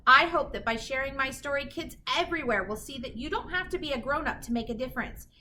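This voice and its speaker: A woman reading a children's picture book aloud in an animated, emphatic storytelling manner. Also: high-pitched, fast talker, slight background noise. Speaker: children's story reader, teacher.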